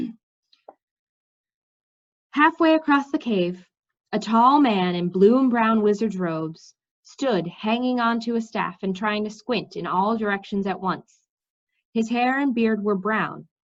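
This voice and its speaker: Expressive woman reading aloud, lively pitch. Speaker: reader reading a children's fantasy novel aloud. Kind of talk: story read-aloud with asides to listeners. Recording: slightly dull, lo-fi sound.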